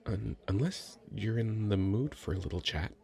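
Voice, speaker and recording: A man playing a very shy, sweet young nerdy writer in an ASMR roleplay, hesitant, stammering and soft-spoken. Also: fairly low pitch, lively intonation, normal speed, gravelly. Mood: nervous, apologetic. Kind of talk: ASMR roleplay, addressing the listener.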